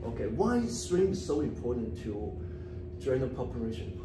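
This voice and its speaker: A man's voice: mid-range voice, sing-song, moderate pace, music in the background. A man hosting a casual interview in a gym, speaking with short affirmations and simple phrasing. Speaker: host. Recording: echoey gym, far from mic, loud background noise.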